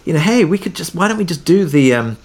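A man's voice: a little high, animated, fast. A man talking casually as an interview guest, telling production anecdotes in a conversational way and thinking aloud as he goes.

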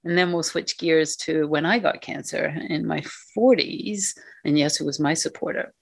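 A woman's voice: sits low, normal speed, creaky, no room sound. Chatty woman podcast host, dry close mic. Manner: warm and chatty, laughs often. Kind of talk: casual conversation with her husband.

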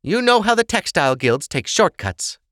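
A man's voice: high voice, big pitch swings, moderate pace, a bit bright, no echo, clean studio sound, pitched above the performer's own voice. A man voicing a worried, loving noble matriarch with a coaxing, motherly manner, in an audiobook story. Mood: tender.

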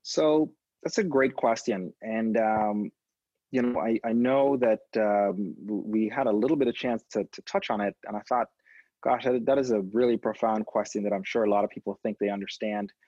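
A man from Trinidad and Tobago with a low, husky voice answers interview questions thoughtfully. He pauses on ums and restarts his words as he thinks. The sound is dull, lo-fi and dry. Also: darkish tone, dry recording. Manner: reflective, frequent ums and repeated words. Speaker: guest, education innovation consultant. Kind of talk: conversational interview.

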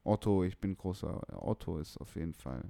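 A man's voice: deep voice, expressive, a little fast, gravelly, dark tone, dry recording. A young man from northern Germany chatting casually about music, slangy, blunt and opinionated. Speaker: music producer, podcast guest.

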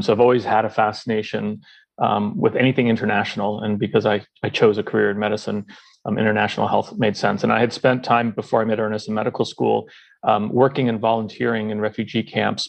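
A man's voice: lowish voice, monotone, fast talker, creaky. A middle-aged man giving conversational answers as an interview guest. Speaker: podcast guest, company founder.

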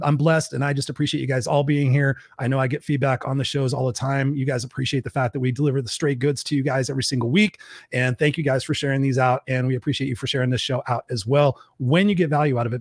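Guy hosting his podcast live, hoarse from a cold, rattles on fast, super dry close sound. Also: medium pitch, no room sound. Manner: fast, energetic pep-talk delivery. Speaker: podcast host.

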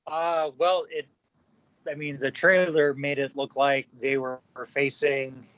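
A man chatting casually as a podcast panelist, hesitant, often pausing to rephrase. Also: mid-range voice, flat delivery, no echo, highs cut off. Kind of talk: casual discussion.

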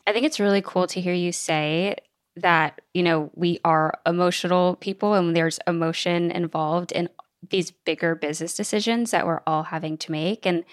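A woman's voice: fairly low pitch, steady tone, moderate pace. A woman co-hosting a podcast in casual conversation.

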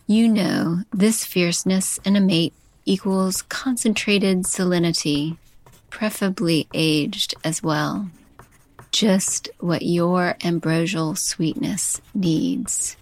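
A woman, a chef hosting a podcast, reading a poetic love letter and scripted narration in a slow, intimate way. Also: on the low side, dry recording.

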